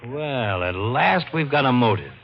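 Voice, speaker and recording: An actor playing a weary, no-nonsense police lieutenant with a worn-out voice in an old radio crime drama. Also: male voice, mid-range voice, animated, unhurried. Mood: businesslike. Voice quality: worn-out sounding. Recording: muffled, slightly noisy old radio recording.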